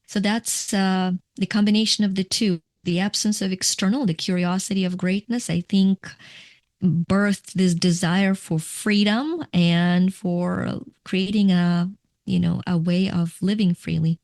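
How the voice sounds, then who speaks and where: A middle-aged woman from Ukraine speaks in a fairly low voice with a gravelly edge. She is a coach giving reflective, explanatory interview answers and sometimes restarts her phrases. The sound is dry and close. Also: fairly even intonation, unhurried, darkish tone, no room sound. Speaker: guest, coach.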